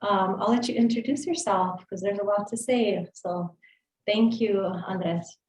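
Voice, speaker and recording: A woman's voice: medium pitch, fairly even intonation, measured pace, darkish tone, echoey room. A woman hosting an interview, switching between English and Spanish with many fillers. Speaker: interview host. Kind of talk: conversational interview.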